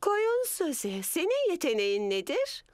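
A woman voicing a kindly gazelle playgroup teacher in a children's cartoon, gentle, encouraging and sing-song. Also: very high voice, huge pitch swings, unhurried, full character voice. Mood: kind, inviting.

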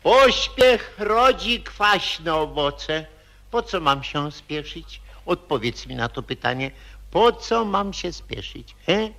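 A man playing a slow, mocking old dervish sage in an old radio play, drawling and teasing. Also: a little high, lively intonation, vocal fry, sharp tone, a little hiss or hum, full character voice. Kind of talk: 1940s radio acting.

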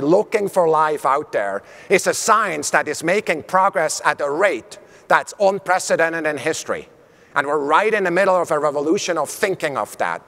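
A middle-aged man with a Swiss German accent, giving an animated keynote talk on a conference stage with a lapel mic. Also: on the high side. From Switzerland. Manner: animated, gesturing while speaking on stage. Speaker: keynote speaker. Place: large conference hall stage. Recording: long hall reverb.

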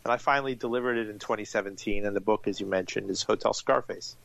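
A man's voice: on the low side, fast talker, creaky, dull audio. A man in his forties, an author and journalist who grew up in Miami, telling his story fluently and with energy as an interview guest. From grew up in Miami, born in Iran. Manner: fluent, animated storytelling with restarts.

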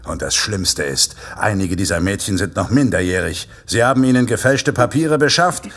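An actor in an audio drama playing a menacing vigilante killer dressed as Santa, mocking and deliberate in his threats. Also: lowish voice, animated, a bit bright. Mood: cold, accusing. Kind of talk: German audio drama acting.

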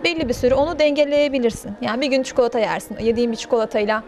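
A woman's voice: on the high side, rapid-fire, a little mellow. A woman in her thirties answering interview questions in a cafe into a handheld microphone, chatty and explaining at length. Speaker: interviewee, actress. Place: pink-walled cafe indoors. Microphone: handheld interviewer mic.

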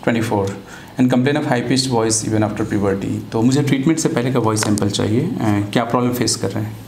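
A middle-aged man with an Indian accent interviewing a patient formally as a voice therapist, in a measured, deliberate way. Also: lowish voice, darkish tone. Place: small clinic office, indoors. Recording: echoey room, faint background noise.

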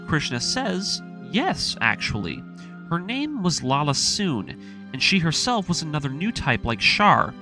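A man narrating a scripted, dramatic recap of a story in an expressive storytelling manner. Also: a little high. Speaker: narrator of an anime story breakdown series. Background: background music.

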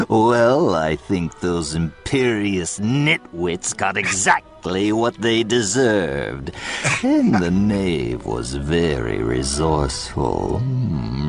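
A sinister old alien villain tells bedtime tales in a deep, gravelly voice. He is extremely slow, mock-sweet and theatrical, swooping in pitch with macabre relish over faint music. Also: heavily acted. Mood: wicked glee. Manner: theatrical, mock-sweet, savoring each word. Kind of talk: theatrical storytelling, audio comedy sketch.

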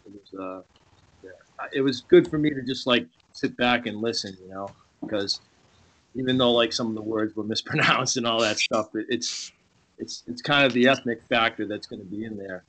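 Guy writer chatting casually on a video call, average pitched voice. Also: moderate pace, a bit bright, low-quality recording. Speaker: screenwriter giving thanks. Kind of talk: casual informal talk.

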